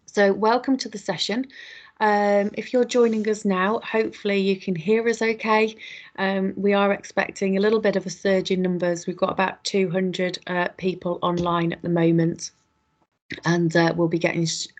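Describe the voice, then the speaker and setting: A woman with a slightly croaky voice, hosting an online webinar and welcoming attendees in a chatty way, with lots of ums and quick self-corrections. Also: mid-range voice, little pitch movement. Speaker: webinar host. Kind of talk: welcoming an online audience, housekeeping.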